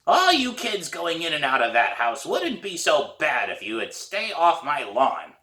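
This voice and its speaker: Guy doing a gruff raspy old lady neighbor, blunt and scolding, bit of a roomy echo. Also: a little high, wildly swooping pitch, a little slow, pushed and bright, distant mic, voice pushed up, full character voice. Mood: stern, suspicious. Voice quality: raspy, hoarse. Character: stern, gruff old woman neighbor, sharp-tongued.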